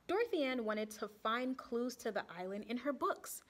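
A young woman with a high, lively voice reads a children's picture book aloud. Her pitch moves up and down a lot, and she speaks expressively, turning to ask her young listeners questions. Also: normal speed, a little hiss or hum. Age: young adult. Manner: animated, asks the children questions. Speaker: storytime reader for children.

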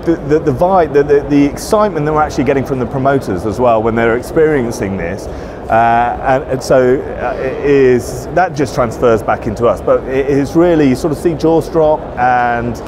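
A man's voice: mid-range voice, moderate pace, hardly any pauses. A man in his forties, a company director, speaking conversationally and enthusiastically in an interview, often restarting his sentences. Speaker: managing director being interviewed. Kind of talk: conversational trade-show interview. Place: large indoor trade show floor. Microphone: lapel. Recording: noisy busy exhibition hall. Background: loud trade show ambience.

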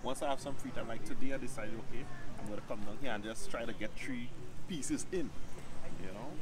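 A middle-aged man from Tobago with a Trinidad and Tobago accent, chatting in a relaxed way about his work, sitting outdoors. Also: medium pitch, a little fast, heavy background noise. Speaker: painter and teacher. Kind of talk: casual outdoor interview. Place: beach park outdoors, seated.

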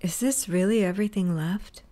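A woman's voice: medium pitch, moderate pace, mellow. A woman acting a stressed cousin who runs a failing shop, stern and exasperated. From United States. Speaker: shop owner character. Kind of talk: audio drama acting.

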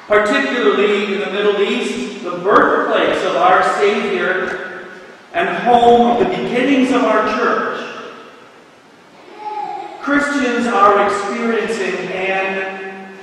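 Slow, solemn older man in an echoing cathedral. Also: high voice, fairly bright tone, a little hiss or hum. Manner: slow, measured and solemn preaching. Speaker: bishop. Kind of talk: sermon. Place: large cathedral, indoors. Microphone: stand mic at the lectern. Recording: echoey cathedral from a distance.

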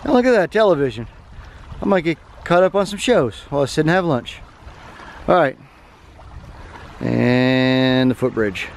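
A man's voice: fairly high pitch, animated, moderate pace, creaky, pauses often, slight background noise. A man, chatting casually to the camera on a mountain trail. He talks in an easygoing, folksy way and sounds tired. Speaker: thru-hiker vlogger. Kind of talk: casual trail vlog narration. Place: outdoors on a wooded mountain trail. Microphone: handheld camera at arm's length.

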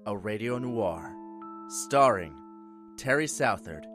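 A man's voice: on the low side, animated, slow pace. A man announcing scripted credits for a radio noir drama. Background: music.

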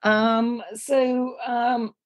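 A woman in her fifties, a textile artist talking casually in an interview, often pausing with fillers and restarting her sentences. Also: fairly high pitch, flat delivery. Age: 50s. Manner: hesitant, many fillers and restarts.

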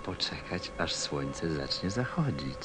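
An actor playing a gentle, reflective storyteller in a radio play, with a soft, thoughtful manner. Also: male voice, rumbling low, sing-song, takes it slowly, full character voice. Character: gentle, reflective adult male narrator recalling his small friend. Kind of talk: radio play narration and dialogue. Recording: music under the voice.

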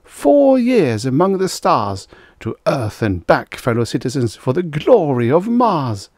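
A man's voice: mid-range voice, very animated, moderate pace, darkish tone, no echo, studio quality, pitched above the performer's own voice. A man voicing an effusive, pompous mayor who gushes as if making a grand speech. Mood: gushing, grandiose. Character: effusive, pompous small-city mayor, a man, jovially disbelieving.

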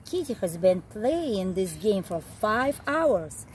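A middle-aged woman reading a picture book aloud to young children in an expressive, deliberate way, recorded outdoors. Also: mid-range voice, slight background noise. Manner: expressive, deliberate read-aloud delivery with simple emphasized phrases. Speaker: early childhood storyteller. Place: outdoor backyard, seated on a garden seat.

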